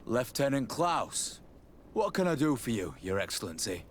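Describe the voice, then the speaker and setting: A man's voice: mid-range voice, sing-song, normal speed, slight background noise, close to an everyday voice. A man playing a polite, deferential army lieutenant in a video game, formal and apologetic. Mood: politely apologetic. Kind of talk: video game cutscene acting.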